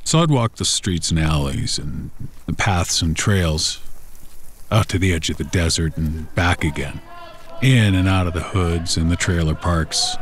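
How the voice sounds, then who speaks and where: A man tells a first-person horror story in a casual, folksy, unhurried, conversational way, like someone recounting his own memories. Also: low-pitched. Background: background music.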